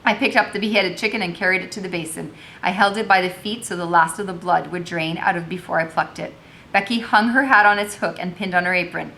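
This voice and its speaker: A woman's voice: on the low side, steady tone, quick pace, vocal fry, bright, forceful tone. Woman reading aloud, faint background noise. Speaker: teacher reading a novel aloud. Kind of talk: read-aloud narration with informal commentary.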